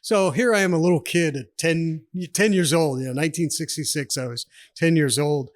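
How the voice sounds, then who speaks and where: A man's voice: a little high, moderate pace, no echo, studio quality. A man in his sixties from New York State, a retired army officer telling stories as a podcast guest in a chatty, rambling way, laughing often. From New York State, USA. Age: 60s. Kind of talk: casual storytelling interview.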